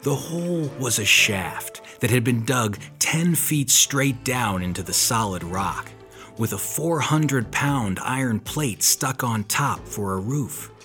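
A man playing a tough, sardonic ex-convict private eye in a hardboiled pulp audio drama, with deadpan delivery. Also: medium pitch, slow, soft background music, exaggerated voice. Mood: brooding. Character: tough, wry ex-convict private detective with magic.

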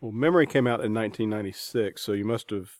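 A man hosting a casual interview, asking questions in a tentative, halting way. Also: on the low side, a little mellow. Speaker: interviewer, host.

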